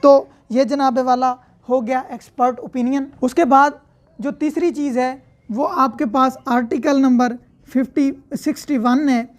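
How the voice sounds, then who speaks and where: Female law lecturer, Pakistani accent, high-pitched voice, didactic explanatory delivery with repeated points. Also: steady tone, unhurried. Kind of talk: explanatory lecture mixing read-out statute with commentary.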